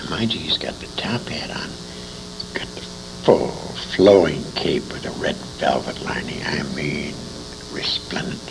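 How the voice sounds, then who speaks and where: Older American man, deep gravelly storyteller. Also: animated, unhurried, whispered, rough recording. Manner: salty, emphatic, repeats phrases for effect. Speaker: interview guest, old-time radio veteran. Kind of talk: animated anecdotal storytelling.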